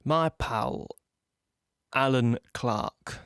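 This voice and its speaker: A man playing a cheerful young guardsman in an audio play, speaking in a jaunty, unhurried manner. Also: medium pitch, vocal fry, a bit bright, clear, resonant tone, dry recording, clean studio sound, heavily acted. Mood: fond, reminiscing. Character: cheerful young British guardsman, a new recruit reminiscing.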